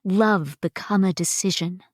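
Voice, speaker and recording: A woman giving an expressive poetry reading, deliberate and pausing between phrases. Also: fairly low pitch, no echo, studio quality. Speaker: reader of poetry. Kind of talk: dramatic poetry recitation.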